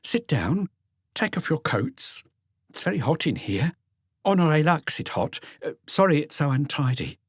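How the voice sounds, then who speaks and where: A man voicing an anxious, grieving woman in her forties, gentle and hesitant, in an audiobook. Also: a little high, sing-song, unhurried, husky, no room sound, clean studio sound, voice pushed up, heavily acted. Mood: nervous, polite. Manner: hesitant, apologetic.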